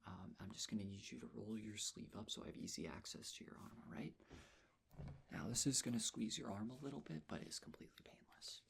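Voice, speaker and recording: Whispering, low, creaky man doing ASMR. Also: lively intonation, fairly quick. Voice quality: breathy whisper. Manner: gentle, reassuring, personal attention. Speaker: ASMR roleplayer playing a nurse. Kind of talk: soft-spoken ASMR medical roleplay.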